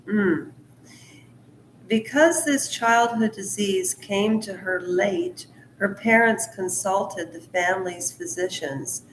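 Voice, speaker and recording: A woman's voice: medium pitch, echoey room, slight background noise, poor audio. A woman hosting her own channel, reading a book aloud and stopping often for rambling asides of her own. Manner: slow, deliberate delivery. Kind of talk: book reading mixed with casual commentary.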